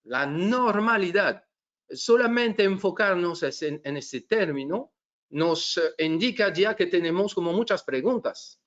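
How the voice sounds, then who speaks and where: A middle-aged man from France with a French accent, presenting a webinar in a halting way with many fillers and restarts. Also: sits high, expressive, fairly bright tone. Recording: dull lo-fi webinar audio.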